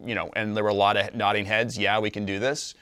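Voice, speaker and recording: A man in his forties speaks with a smooth, lowish voice in a dry studio. He is an interview guest, laying out his points in an earnest, orderly way and restating them as he goes. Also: level tone, fast, bright, forceful tone, dry recording. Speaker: business co-owner, interview guest. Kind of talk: explanatory interview talk. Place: small TV studio.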